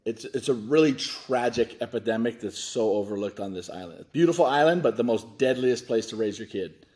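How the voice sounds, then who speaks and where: A man in his forties from Hawaii, a foundation director speaking candidly and haltingly in an interview, often restarting his sentences. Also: medium pitch, animated, darkish tone. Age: 40s. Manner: earnest, halting, restarts a lot. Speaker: nonprofit foundation director, guest.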